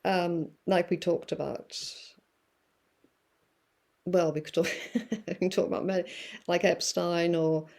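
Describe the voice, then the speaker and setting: Woman guest talking slow, mellow tone, lots of um and you know. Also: on the low side, level tone, vocal fry. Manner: musing, unhurried, many fillers. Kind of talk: reflective spiritual talk.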